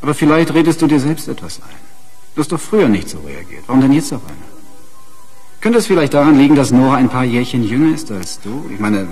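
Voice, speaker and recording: A man's voice: medium pitch, very animated, quick pace, darkish tone, faint music behind, lightly acted. An actor playing a pompous, needling middle-aged husband and father in a radio drama, condescending and self-righteous. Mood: needling, smug. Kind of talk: naturalistic radio drama acting.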